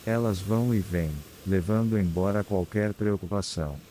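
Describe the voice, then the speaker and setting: A man with a Brazilian accent guides a scripted meditation in a calm, soothing, unhurried manner with long pauses. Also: lowish voice, slight background noise, poor audio. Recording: clear background ambience of ocean waves. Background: sea waves ambience.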